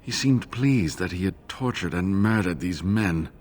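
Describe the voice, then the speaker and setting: An actor playing a weary, earnest scholar in a fantasy video game, speaking in a measured, formal, rueful way. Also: male voice, low-pitched, expressive, a little mellow, a little hiss or hum. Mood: bitter.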